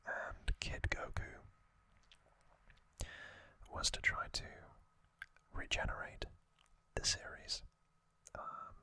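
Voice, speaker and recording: Whispering man, slow ASMR delivery. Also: sharp tone, no echo. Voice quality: breathy, unvoiced whisper. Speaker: ASMR host. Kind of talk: ASMR, unscripted whispered commentary.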